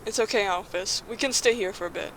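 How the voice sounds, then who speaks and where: A woman playing a calm, practical, reassuring young group leader in an audio drama, with steady delivery. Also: mid-range voice, fast talker, a little hiss or hum, natural voice, poor audio.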